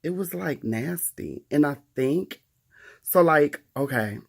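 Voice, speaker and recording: A man's voice: fairly high pitch, lively intonation, slow, creaky. A man in his thirties chatting casually to the camera in a wry, profane, chatty way. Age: 30s. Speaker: vlogger.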